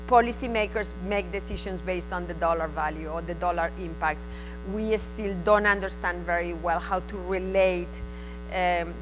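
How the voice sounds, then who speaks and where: A woman's voice: on the low side, a bit bright, poor audio. A woman from Spain with a Spanish accent, presenting at a conference in a frank, conversational way that comes in short bursts. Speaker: invited conference speaker. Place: conference hall.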